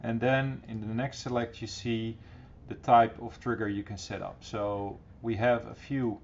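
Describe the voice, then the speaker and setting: A middle-aged man presenting an informal, encouraging software tutorial in a casual style, recorded on a computer microphone. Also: fairly low pitch, little pitch movement, measured pace, gravelly. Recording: dull, slightly noisy computer recording.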